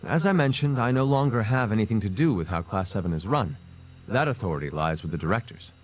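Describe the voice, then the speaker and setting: Eloquent noble prince, swooping delivery. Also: male voice, lowish voice, animated, fast. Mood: measured, earnest. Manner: theatrical, refined. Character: eloquent, playful noble prince addressing students. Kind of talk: scripted game cutscene acting. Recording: music behind the voice.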